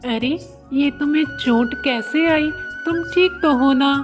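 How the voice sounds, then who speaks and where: High-voiced, kind young boy bird played by a woman. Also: a little slow, over music. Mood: concerned, kind. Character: kind, helpful young male bird, caring friend. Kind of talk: children's cartoon story dialogue.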